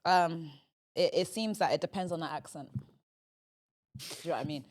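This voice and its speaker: Brief: young woman from Ghana, podcast host, teasing and lively, studio boom mic. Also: normal speed. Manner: lively, teasing, gestures with her hands. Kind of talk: casual podcast chat. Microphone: studio mic on boom arm.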